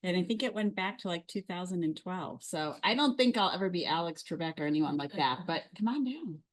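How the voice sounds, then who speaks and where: Playful woman moderator, low voice. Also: animated, fairly quick. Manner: playful, joking. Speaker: seminar moderator. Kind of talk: casual chat.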